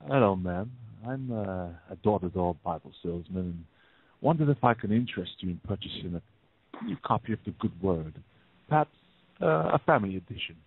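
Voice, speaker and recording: A man acts a menacing phony salesman in an audio play. The voice is husky and lowish, with an insincere edge. The recording is dry and band-limited. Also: lively intonation, no room sound, narrow, tinny audio, full character voice. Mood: oily, falsely polite. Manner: halting, falsely polite, then curt. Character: menacing gunman posing as insincere Bible salesman. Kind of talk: audio drama acting.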